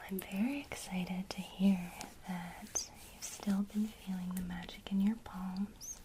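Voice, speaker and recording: A woman teaching witchcraft basics in a soft, encouraging ASMR whisper. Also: medium pitch, noisy background.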